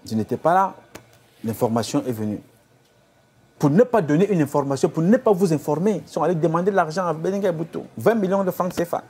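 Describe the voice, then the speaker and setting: Husky, exhorting man. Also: fairly high pitch, lively intonation, fairly quick, darkish tone. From Cameroon. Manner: exhorting, repetitive rhetorical appeals. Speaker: activist leader. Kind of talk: impassioned address to followers.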